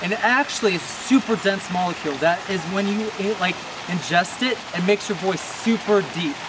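A young man with an American accent chatting casually to camera in an excitable, slangy way, as a vlogger. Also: sits high, normal speed. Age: young adult. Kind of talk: casual vlog chat. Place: outdoors in a park by a small waterfall. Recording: clear outdoor background noise, running water.

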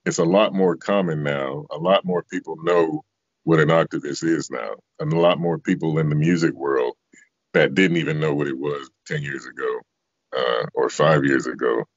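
An extremely low bass voice from a man in his fifties, speaking conversationally as an interview guest. The sound is dry and somewhat dull, like a lo-fi video call. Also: no echo. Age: 50s. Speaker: interviewee, bass singer and voice actor. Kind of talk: reflective conversational interview answers. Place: indoor room, video call.

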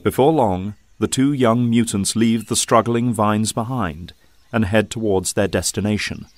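A man's voice: fairly low pitch, unhurried, no room sound. A man reading scripted dramatic narration as the narrator of an audio drama. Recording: music bed under the voice. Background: music and effects.

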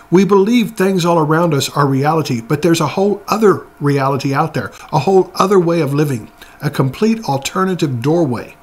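A man in his forties giving an earnest, exhorting Bible teaching to camera in a room lined with bookshelves, often restarting words. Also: fairly high pitch.

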